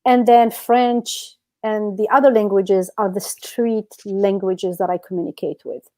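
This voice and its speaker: A middle-aged woman from Algeria, a native Amazigh speaker, co-hosting a casual live chat and telling things in an animated way. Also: medium pitch, measured pace. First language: Amazigh (Berber). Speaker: co-host.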